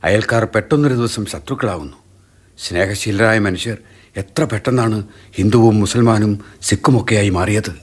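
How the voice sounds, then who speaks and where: A man playing a shaken, sombre neighbour in a Malayalam radio drama, reporting grim news in a low, grim way. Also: normal speed, husky. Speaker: Ravi, a neighbour.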